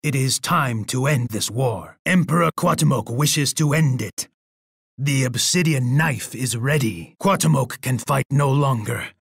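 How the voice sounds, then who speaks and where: An actor playing a proud, bloodthirsty and unhinged Aztec emperor in a video game, with a husky voice and a pompous manner. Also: male voice, mid-range voice, unhurried, a bit bright, dry recording, studio quality, exaggerated voice. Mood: gloating, grandiose. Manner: pompous, speaks of himself in third person. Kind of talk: video game character voice lines.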